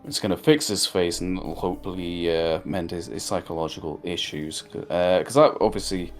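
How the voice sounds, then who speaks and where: A man's voice: low-pitched, moderate pace, faint music behind. A man giving his opinions in a casual, rambling conversational review as a co-host. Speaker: co-host of a cartoon review show.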